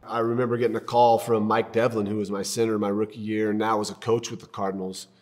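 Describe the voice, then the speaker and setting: A man's voice: on the low side, little pitch movement, quick pace, darkish tone. A man who used to play football, reminiscing in a documentary interview. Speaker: former football player.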